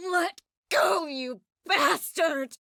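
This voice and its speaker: An actress playing a young sorceress in a video game, warm and clever, with a wry, quietly determined manner. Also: female voice, medium pitch, normal speed, dry recording, clean studio sound, lightly acted. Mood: angry. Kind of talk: video game character dialogue.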